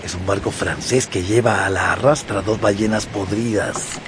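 An actor playing a wary first mate who makes dry, matter-of-fact remarks. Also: male voice, lowish voice, steady tone, moderate pace, noisy background, close to an everyday voice. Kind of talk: radio drama acting.

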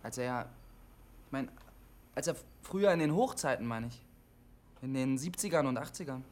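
An actor in a German radio drama playing an awkward, defensive loner in his twenties, halting and stubborn as he talks on CB radio. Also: medium pitch, expressive, natural voice. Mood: hesitant. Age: 20s.

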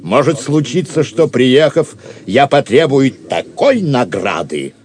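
An actor playing a calm, good-humoured and mysterious knight in an audio drama, measured and dignified. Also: male voice, mid-range voice. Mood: dignified, amused.

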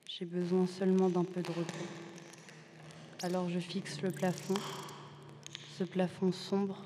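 A young woman giving a measured, deliberate literary reading of introspective prose poetry, pausing between short phrases. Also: lowish voice. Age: young adult. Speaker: student reading co-written literary texts. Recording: long reverb, loud music behind.

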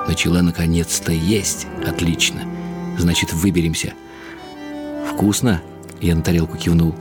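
An actor playing a wry, reflective elderly husband who narrates in the first person in short clipped phrases for a radio drama. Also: male voice, sits low, flat delivery, moderate pace, over music, natural voice. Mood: tender, anxious.

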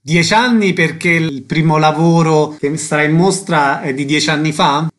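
A man's voice: fairly high pitch, normal speed, a bit bright. A man hosting on radio, reading announcements and putting short interview questions.

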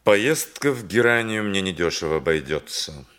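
A self-important learned dentist in a radio drama. The voice is very low, with pompous, deliberate phrasing that insists on principle. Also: male voice, animated, a bit bright, clean tone. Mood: dignified, pompous. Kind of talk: theatrical radio play acting.

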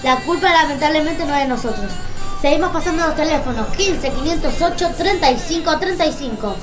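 Female radio host, Argentine accent, high pitch, informal delivery, roomy echo. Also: lively intonation, fairly quick. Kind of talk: informal live radio presenting. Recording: echoey room, music behind. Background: music.

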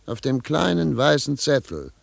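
An actor playing a stern, irritable investigator with an imperious, commanding manner in a German radio play. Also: male voice, mid-range voice, big pitch swings, moderate pace, gravelly, exaggerated voice. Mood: grave, reflective. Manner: imperious, barking orders, clipped commands. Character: stern, irritable male investigator interrogating war crime suspects. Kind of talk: German radio play acting, partly narrating.

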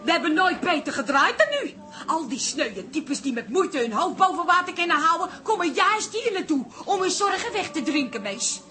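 High, animated, bossy Dutch pub landlady. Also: normal speed, soft background music, full character voice. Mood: indignant. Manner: scolding, blunt, colloquial. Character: bossy, mocking, down-to-earth female pub landlady. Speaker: actor in a radio comedy drama. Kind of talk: Dutch radio play acting.

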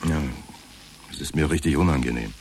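An actor playing an insecure, downtrodden insurance clerk in a radio drama, talking in a halting, self-pitying way. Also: male voice, rumbling low, darkish tone, slight background noise. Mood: dejected, anxious. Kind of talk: naturalistic radio drama acting.

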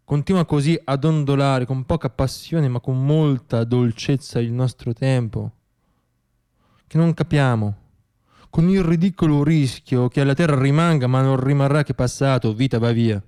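A man performing a theatrical radio monologue in an expressive, declamatory way, moving between poetic reflection and wry irony. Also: medium pitch, moderate pace, clear, resonant tone.

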